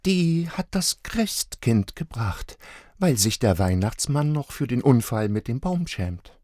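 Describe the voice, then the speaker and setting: A worried mother frets in a lifted, gentle voice, played by a male storyteller. She speaks slowly and with an expressive melody. The sound is dry. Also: fairly high pitch, husky, dry recording, pitched above the performer's own voice, full character voice. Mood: fretful.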